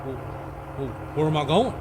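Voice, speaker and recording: An actor playing a naive, bewildered, slow-witted small-town sheriff in an old-time radio parody. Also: male voice, a little high, lively intonation, heavy background noise, lightly acted.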